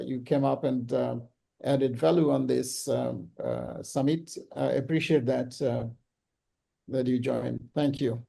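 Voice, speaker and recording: A man hosting an online business summit, talking casually and haltingly with many ums and uhs over a video call. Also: mid-range voice, vocal fry, warm tone. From Bangladesh. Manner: hesitant, full of ums and uhs. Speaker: summit host.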